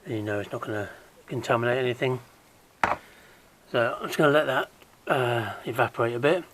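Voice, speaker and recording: A man with a hoarse, croaky voice talks viewers through a car repair in a relaxed, chatty way. His pitch sits mid-range for a man, and he often drops to a low mutter while his hands are busy. Faint noise hums in the background. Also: fairly quick. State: croaky, recovering from illness. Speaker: DIY car repair vlogger. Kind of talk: casual hands-on how-to tutorial.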